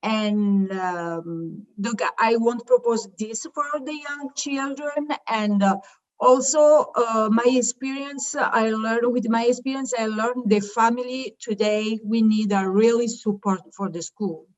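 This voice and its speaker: A middle-aged woman gives an informal business presentation in English, haltingly searching for words. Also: on the high side, darkish tone, lo-fi sound. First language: not English. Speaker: childcare founder presenting her business.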